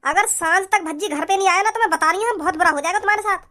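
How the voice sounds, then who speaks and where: Protective cartoon mum played by a performer, very high voice, brisk delivery, firm with her family. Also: sharp tone. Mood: warning. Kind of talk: comedy cartoon dialogue.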